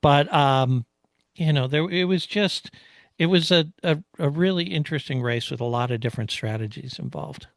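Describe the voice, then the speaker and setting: A man co-hosting a casual motorsport recap, speaking in a reflective, slightly halting way. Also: on the high side, no room sound, studio quality. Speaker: podcast co-host.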